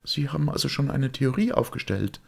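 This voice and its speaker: A man's voice: mid-range voice, brisk, vocal fry, darkish tone, breathy edge, pitched above the performer's own voice. A man voicing an anxious, indignant young woman in an audiobook, tense and pointed. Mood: probing. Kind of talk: audiobook character voice.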